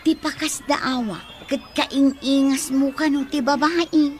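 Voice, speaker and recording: A woman's voice: high-pitched, fairly even intonation, measured pace. An actress playing a gossipy young woman who chats and teases.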